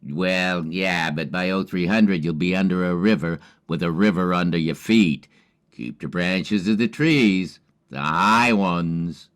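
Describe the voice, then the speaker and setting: A man's voice: low-pitched, measured pace, a bit bright. A man playing a genial, wry old radio lookout in an audio drama, with a folksy, colorful way of talking. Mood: cautioning. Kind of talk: amateur audio drama acting.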